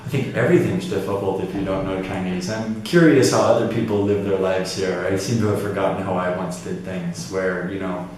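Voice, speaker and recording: A man's voice: low voice, steady tone, a little fast, hardly any pauses, a little mellow. A man who is an expat, speaking articulately and reflectively in an interview. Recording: very echoey, distant, faint noise.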